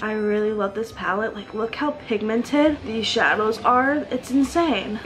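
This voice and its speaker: A woman's voice: fairly high pitch, rough recording. A woman in her twenties with an American accent chats casually and informally through a makeup tutorial, recorded indoors in a bedroom. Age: 20s. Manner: informal, self-deprecating, sprinkles in swearing. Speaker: beauty vlogger.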